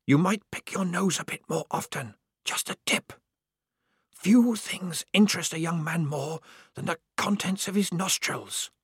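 A man voicing an unseen, conspiratorial stranger in an audiobook, giving patient, wry advice in a hoarse, hushed whisper. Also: sits high, wildly swooping pitch, drawn-out words, long pauses, no room sound, studio quality, voice pushed up, heavily acted. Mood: wry advising. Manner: patient, hushed, matter-of-fact. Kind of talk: audiobook character voice.

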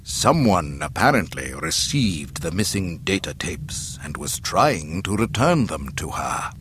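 A cold, confident male dark lord from an audiobook space saga, voiced by a man. His deliberate voice stays low and menacing, with a little noise behind it. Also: big pitch swings, gravelly, full character voice. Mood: cold certainty. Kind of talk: audiobook character acting.

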